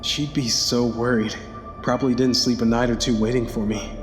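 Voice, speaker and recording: A man acts out a bitter, anxious crewman in a sci-fi audio drama. He swears and mutters to himself in a medium-pitched voice. It rings with long hall reverb, and music plays clearly behind him. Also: flat delivery, normal speed, close to an everyday voice. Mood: anxious, weary. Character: anxious, bitter, foul-mouthed male spacecraft crew member.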